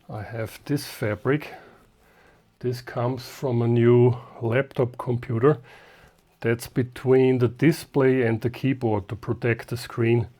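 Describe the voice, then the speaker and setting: A man with a mid-pitched voice narrates a hands-on repair at a very unhurried pace. He speaks in short, halting phrases, as if half to himself while his hands are busy. The delivery is unscripted, like a hobbyist showing his work step by step. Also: dark tone. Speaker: hobbyist host of radio repair videos.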